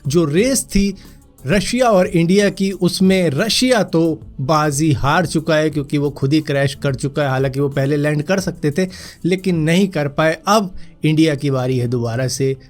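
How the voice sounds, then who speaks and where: A young man with a hoarse throat after a fever, casually rounding up news stories for his viewers. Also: fairly high pitch, normal speed, faint music behind. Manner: chatty, addresses viewers as friends. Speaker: news vlogger.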